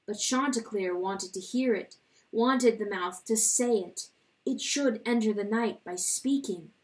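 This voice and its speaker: A woman reading a literary story dramatically, in a solemn, deliberate narrating style. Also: medium pitch, distant mic, poor audio. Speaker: audiobook reader.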